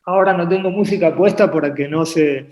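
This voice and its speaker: A man from Uruguay raised in Argentina, talking about his work as a painter on a video call, often pausing and repeating words as he thinks. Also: fairly high pitch. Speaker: painter and artist guest. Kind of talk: relaxed interview conversation. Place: home painting studio. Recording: echoey room on a video call.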